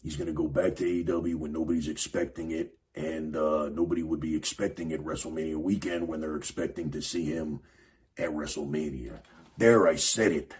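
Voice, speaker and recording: A man hosting a podcast, talking casually about wrestling in a rambling way, with a raspy voice and lots of fillers. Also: a bass voice, steady tone, fairly quick, a little mellow. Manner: rambling, many fillers and restarts. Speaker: podcast host. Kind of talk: casual wrestling commentary monologue.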